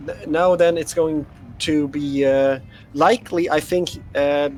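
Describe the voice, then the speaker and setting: A man's voice: on the high side, steady tone, unhurried, darkish tone, slight background noise. A man from Sweden co-casting a live strategy game, hesitant with lots of fillers. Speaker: esports co-caster.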